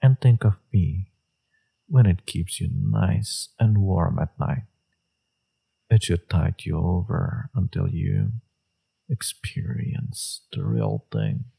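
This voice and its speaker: A man playing a smug, seductive villain in a romantic audio roleplay, teasing and sure of himself, with a low, gravelly, husky voice. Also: sing-song, dark tone, exaggerated voice, poor audio. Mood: seductive, confident. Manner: teasing, self-assured, unhurried.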